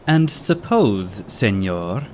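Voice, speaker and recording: A man voices a scornful, defiant caballero in an audiobook drama. He speaks low and deliberately, loading his words with sarcasm. There is faint ambience behind him. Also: very animated, darkish tone, a little hiss or hum, full character voice. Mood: sarcastic scorn. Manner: sarcastic, haughty. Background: faint ambient sea sound.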